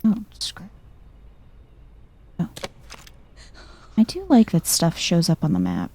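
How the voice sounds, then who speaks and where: Husky, soft-spoken woman gamer, slow and mellow. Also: wildly swooping pitch. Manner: chill, low-key, murmuring to herself. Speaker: video game streamer, let's player. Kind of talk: soft-spoken ASMR gameplay commentary.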